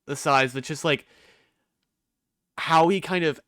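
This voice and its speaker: A man's voice: a little high, takes it slowly, fairly bright tone, dry recording. Teen guy rambling on a podcast, slangy casual talk, dry close sound. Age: teenager. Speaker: podcast co-host.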